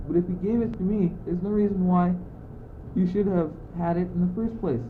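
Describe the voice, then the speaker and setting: A man's voice: high voice, steady tone, normal speed, natural voice. Teenage boy acting pedantic courtier, tinny noisy recording. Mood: puzzled, defensive. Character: argumentative, muddled but bossy male courtier, reasoning pedantically. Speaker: student actor. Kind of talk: amateur school radio play. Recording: muffled, tinny old amateur recording, noisy.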